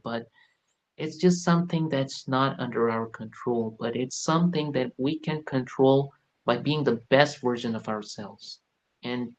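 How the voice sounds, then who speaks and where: A man in his late twenties, a podcast guest, talking earnestly and reflectively about his life, often searching for words with fillers. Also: medium pitch. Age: late 20s. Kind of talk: reflective personal talk.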